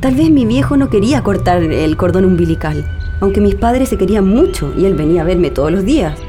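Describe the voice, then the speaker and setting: A woman's voice: medium pitch, animated, brisk, lightly acted. A woman playing a railwayman's nostalgic daughter recalling her family in a radio drama, with a Chilean accent. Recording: music under the voice.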